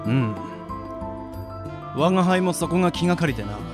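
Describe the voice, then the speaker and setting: A man's voice: on the high side, animated, moderate pace, music in the background, lightly acted. A man playing a proud ancient demon lord and magician in a Japanese radio drama, speaking in a grandiose, archaic manner. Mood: grave, resolute. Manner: grandiose archaic speech, declaiming. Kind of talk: Japanese anime-style radio drama acting.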